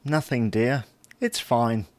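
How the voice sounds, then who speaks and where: A man's voice: mid-range voice, slow, dry recording, natural voice. A man playing a mild, baffled, henpecked husband with a dry, grudging manner in a domestic audio sitcom.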